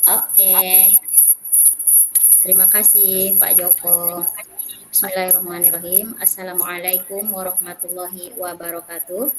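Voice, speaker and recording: A woman with a Malay accent from the Riau Islands introduces herself as a teacher on a video call. She is polite and friendly, with small laughs along the way. Her voice sits fairly low, with faint music in the background. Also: level tone, unhurried, poor audio. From Riau Islands, Indonesia.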